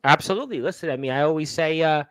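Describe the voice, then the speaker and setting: Chatty high-voiced New man. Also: rapid-fire, a bit bright, clean tone, no room sound. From Brooklyn, New York. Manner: rambling, chatty, full of uh fillers. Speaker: radio show host. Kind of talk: casual chat with shout-outs to listeners.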